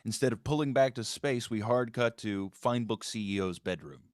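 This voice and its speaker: A man's voice: medium pitch, moderate pace, no echo, clean studio sound. A man reading scripted stage directions as the narrator of a screenplay table read.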